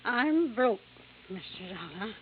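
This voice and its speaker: A woman playing a gravely ill, gracious old grandmother in a radio drama, earnest and confiding. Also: medium pitch, big pitch swings, slow pace. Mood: confessing. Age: older. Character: frail, gracious old grandmother on her deathbed, candid. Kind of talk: old-time radio acting. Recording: muffled vintage radio recording, faint noise.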